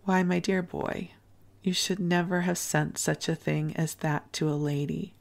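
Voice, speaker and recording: A woman reading the lines of a kindly, proper older American matron, gently scolding and emphatic. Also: on the low side, steady tone, a little slow, gravelly.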